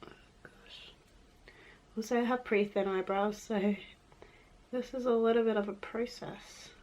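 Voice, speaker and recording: A young woman chatting casually through a makeup tutorial, her voice a little croaky from a cold, rambling and laughing easily. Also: fairly high pitch, fairly even intonation, unhurried, darkish tone. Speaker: beauty vlogger.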